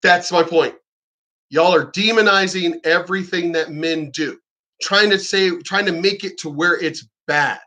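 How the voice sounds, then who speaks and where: A man's voice: high voice, a little slow, pushed and bright. A man giving a combative, profanity-laced rant as a reaction commentator, mocking what he reacts to.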